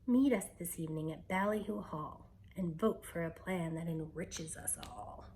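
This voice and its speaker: A woman's voice: lowish voice, normal speed, darkish tone, a little hiss or hum, voice pushed down, natural voice. A woman voicing a pompous storybook property developer with a grand, haughty manner.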